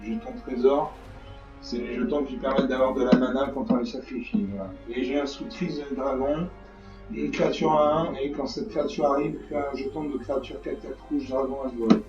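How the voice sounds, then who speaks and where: A hoarse man hosting a gaming channel, talking through a card game in a rambling, repetitive way with many fillers. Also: mid-range voice, steady tone, brisk. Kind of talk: casual game commentary. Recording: distant mic, echoey room, dull lo-fi sound, faint music.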